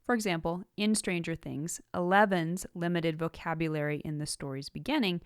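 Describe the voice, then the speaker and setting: A woman with a slightly low voice delivers scripted instructional narration as a podcast host. Her phrasing is articulate and measured, leaning on key words. The sound is dry, with almost no room around it. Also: steady tone, clear, resonant tone, no echo. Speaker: podcast host and writing teacher.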